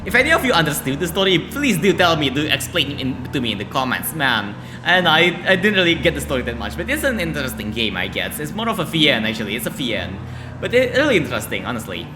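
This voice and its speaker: A man's voice: on the high side, very animated, quick pace. A man hosting a gaming video, casually reading a visual novel aloud and reacting in slangy asides, sometimes stumbling over words. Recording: loud music behind the voice.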